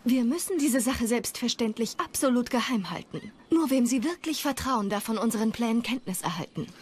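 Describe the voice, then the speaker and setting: An actress playing a shrewd, affectionate royal princess with a formal, discreet manner in a dramatized documentary. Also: female voice, a little high, lively intonation, a little fast, darkish tone, slight background noise, close to an everyday voice. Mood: assured, discreet.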